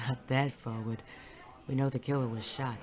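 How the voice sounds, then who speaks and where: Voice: mid-range voice, level tone, normal speed, faint music behind, close to an everyday voice. An actor playing a weary, cynical hard-boiled private detective in a noir audio drama, wry and sardonic. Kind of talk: 1940s noir audio drama acting and narration.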